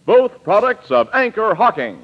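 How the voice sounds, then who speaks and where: A man's voice: sits high, big pitch swings, moderate pace. An American man reading scripted announcements in a formal, emphatic 1940s radio style. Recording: dull old radio recording, faint music.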